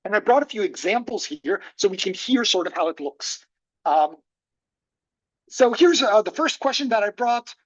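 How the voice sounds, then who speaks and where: Panel speaker, mid pitch, halting and reflective, lo-fi online call. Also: lively intonation. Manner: hesitant, thoughtful, many fillers. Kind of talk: online academic presentation. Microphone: video call.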